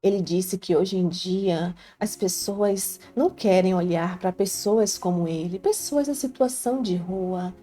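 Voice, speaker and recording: Low, mellow Brazilian woman storyteller. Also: unhurried, hardly any pauses. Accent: Brazilian Portuguese. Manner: earnest, heartfelt confiding tone. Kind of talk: first-person scripted narration. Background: faint background music.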